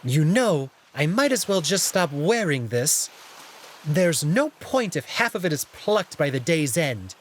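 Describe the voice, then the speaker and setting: A man's voice: big pitch swings, a little slow, a little hiss or hum. A man voicing a small, easily flustered male cat with a fussy, dramatic, protesting manner in a visual novel. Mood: sulky. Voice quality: shrill, squealing when upset. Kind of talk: visual novel character dub.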